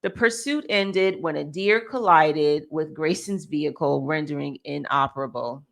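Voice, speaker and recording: Female American co-host, lower register, reads articles aloud informally with small self-corrections. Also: normal speed, creaky. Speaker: co-host reading a news article. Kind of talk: reading aloud with casual asides.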